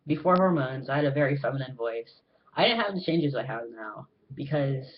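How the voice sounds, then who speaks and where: Halting young man on a dull webcam. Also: medium pitch. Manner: halting, earnest, many filler ums. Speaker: vlogger. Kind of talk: casual personal talk to camera.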